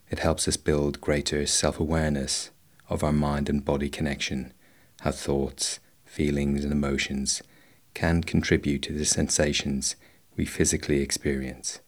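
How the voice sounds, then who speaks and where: A man guiding a meditation in a slow, measured, instructional way, leaving long pauses between phrases. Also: extremely low pitch. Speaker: meditation guide. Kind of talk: guided body scan meditation.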